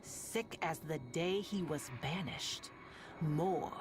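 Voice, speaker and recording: A woman playing a sardonic, world-weary radio host in a sci-fi game, telling stories in a deliberate, ominous, wry way with long pauses over music. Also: low voice. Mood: dark, suspenseful. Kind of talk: slow dramatic monologue to listeners, storytelling.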